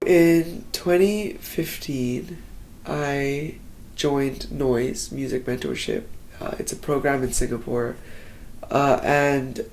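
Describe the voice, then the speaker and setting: A man's voice: mid-range voice, vocal fry, a little hiss or hum. A young man from Hong Kong, a singer-songwriter, talking casually in a documentary interview with lots of "like" fillers. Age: young adult. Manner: casual, relaxed, heavy use of "like" fillers.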